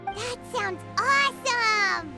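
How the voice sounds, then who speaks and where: Squeaky little fairy sidekick. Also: female voice, lively intonation, slow pace, creaky, loud music behind the voice, full character voice. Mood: amazed, chatty. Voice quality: squeaky, childlike. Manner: perky, speaks of self in third person. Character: tiny childlike female fairy companion, perky and chatty. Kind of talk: video game voice acting.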